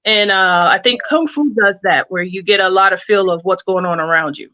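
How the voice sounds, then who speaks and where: Female interview guest, bright clear-cut tone, comic writer, conversational, explanatory, measured with pauses. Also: mid-range voice.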